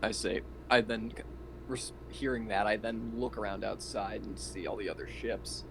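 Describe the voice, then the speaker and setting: A man's voice: on the low side, fairly even intonation, moderate pace, background noise. A man chatting casually as a player in a tabletop roleplaying session. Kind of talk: casual tabletop game chat.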